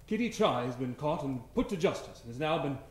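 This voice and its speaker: An actor playing a solemn, self-important prison warden in an old-time radio play, with pompous, declamatory delivery. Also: male voice, fairly high pitch, expressive, a little fast, close to an everyday voice. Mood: grave, pompous. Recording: echoey live stage recording.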